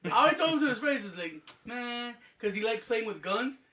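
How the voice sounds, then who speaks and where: A young man hosting a casual podcast chat, with a high-pitched voice, mumbling a little and often laughing as he tells stories. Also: a little fast. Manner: mumbling, laughing, animated storyteller. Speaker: podcast host.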